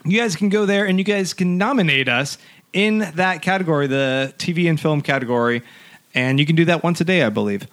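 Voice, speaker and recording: A man's voice: on the high side, brisk, a bit bright. A man hosting a casual podcast, upbeat and promotional, chuckling now and then. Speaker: podcast host.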